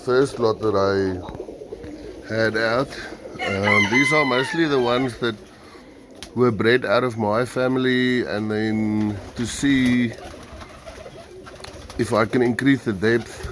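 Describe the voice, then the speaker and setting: A South African man, an Afrikaans native speaker, narrates casually in a mid-range voice. He explains as he goes, unscripted, like someone showing his birds on a vlog. There is clear noise behind him, with pigeons cooing. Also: medium pitch, wildly swooping pitch, slow pace, long pauses, fairly bright tone. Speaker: pigeon keeper presenting his own video. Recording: noisy, birds cooing nearby. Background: pigeons cooing, clear background noise.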